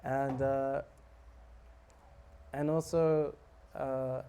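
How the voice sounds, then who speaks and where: A man giving a relaxed, joking talk on scripture to a live audience, often asking them questions. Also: medium pitch, drawn-out words, slight background noise. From South Africa. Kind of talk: casual sermon to a live audience.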